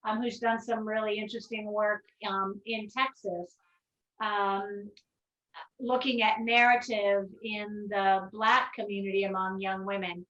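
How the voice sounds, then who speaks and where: A woman moderating a live-streamed panel, chatty and filling pauses as she talks. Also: mid-range voice, little pitch movement, fairly bright tone. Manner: chatty, many fillers. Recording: dull, echoey, distant audio.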